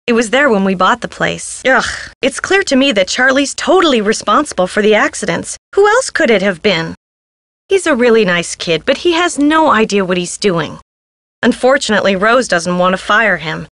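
A woman's voice: mid-range voice, very animated, moderate pace, fairly bright tone, no echo, clean studio sound. An actress voicing a dramatic, airy woman innkeeper devoted to the spirits, in a video game. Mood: chatty, earnest. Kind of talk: video game character dialogue.

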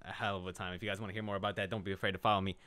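A man's voice: low voice, level tone, racing, sharp tone. A teenage man from New York, a podcast guest, confidently plugging his music and projects into a desk mic in a small office. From New York, USA. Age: teens. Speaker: podcast guest, young rapper. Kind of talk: self-promoting interview answers.

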